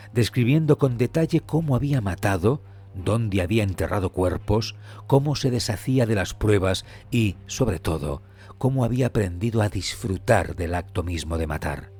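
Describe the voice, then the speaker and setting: A man delivering scripted true crime narration in a solemn, measured, suspenseful manner. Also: lowish voice, expressive, darkish tone, husky. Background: music.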